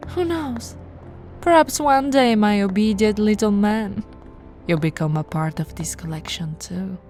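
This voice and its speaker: A woman's voice: medium pitch, a little mellow, soft background music, lightly acted. A woman playing a possessive, aristocratic vampire in an ASMR roleplay, haughty and seductive, drawing out her words and dipping into whispers. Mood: possessive, coaxing. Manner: haughty, sultry, drawn-out delivery with whispered phrases. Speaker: voice actor.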